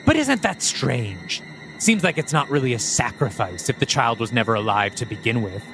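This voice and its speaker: A sneering demon lurks in a priest's body, preaching with taunting, theatrical relish, as a man puts him on. His pitch swoops from low menace to sudden highs. A faint musical bed plays behind the storybook performance. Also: sing-song, normal speed, vocal fry, music in the background, rough recording. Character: mocking male demon possessing a priest.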